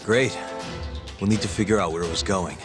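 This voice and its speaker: An actor playing a calm, decisive male private detective in a video game cutscene, speaking in a terse, matter-of-fact way. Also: low-pitched, brisk, close to an everyday voice. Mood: calm, reassuring. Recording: loud music behind the voice.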